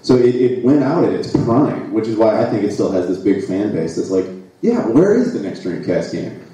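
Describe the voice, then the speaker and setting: A man's voice: lowish voice, big pitch swings, moderate pace, dark tone. A man from the United States chatting casually and jokily as a guest on a live panel, rambling with restarts. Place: live expo stage, large hall. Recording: echoey hall, distant mic.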